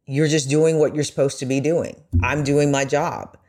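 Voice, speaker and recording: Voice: mid-range voice, steady tone, normal speed, a little mellow. A journalist reflecting personally in conversation, speaking in an earnest, encouraging way.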